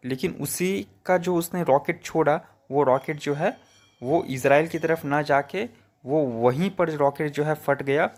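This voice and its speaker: An Indian man giving informal, opinionated news commentary in a colloquial, repetitive way. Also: on the high side.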